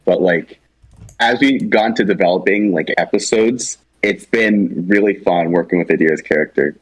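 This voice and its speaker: A man's voice: low-pitched, moderate pace, slightly rough, poor audio. A man talking informally and conversationally, co-presenting a creative project in unscripted discussion.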